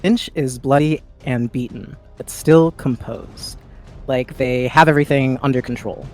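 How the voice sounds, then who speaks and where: A man's voice: mid-range voice, a little slow. A man playing a tabletop game, dramatically describing his character's moves. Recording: faint music bed under voice. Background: faint music.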